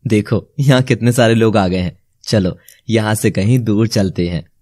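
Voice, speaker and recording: A man voicing a troubled, dramatic nobleman in an animated fairy tale, with a slightly husky voice. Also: lowish voice, moderate pace, noisy background, close to an everyday voice. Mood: yearning.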